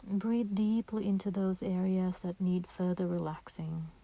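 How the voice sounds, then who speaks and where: A woman guides a meditation in a soft and breathy voice. Her tone is warm, relaxed and dark, with nothing sharp in it. She gives gentle, soothing instructions and leaves space between phrases. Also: mid-range voice, dry recording. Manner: gentle, slow and soothing, with pauses.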